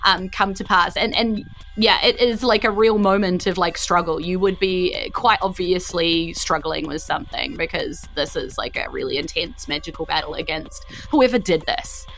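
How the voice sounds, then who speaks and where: A woman narrating a tabletop game as game master, explaining haltingly and acting out small effects. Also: fairly low pitch, fairly bright tone, low-quality recording.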